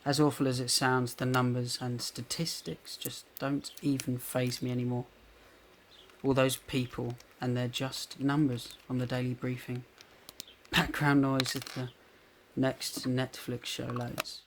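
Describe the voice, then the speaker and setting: A man playing a frightened, numbed person at high risk in a filmed diary monologue. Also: level tone, a little slow, a little hiss or hum, lightly acted. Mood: numb, empty. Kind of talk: spoken diary monologue in a short film.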